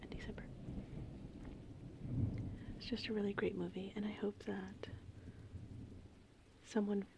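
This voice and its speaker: A woman whispering in a casual, meandering, unhurried ASMR ramble, with a breathy, creaky voice. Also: medium pitch, heavy background noise. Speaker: ASMR host. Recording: steady rain and thunderstorm ambience. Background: gentle rain and thunder.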